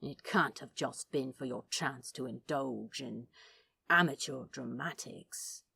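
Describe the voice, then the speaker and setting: A gruff male demon from an audio storybook, acted out by a woman, sardonic and wounded. The voice sits very low and drags slowly, with a gravelly edge to every phrase. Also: pushed and bright, dry recording, heavily acted. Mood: wary, doubting. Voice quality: gravelly, husky. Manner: slow, sardonic, swearing drawl.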